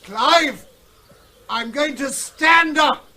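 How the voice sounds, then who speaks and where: An actor playing an earnest veterinary surgeon in a radio drama, who talks urgently and persuasively. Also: male voice, extremely high pitch, drawn-out words, bright, forceful tone, heavily acted. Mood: pleading.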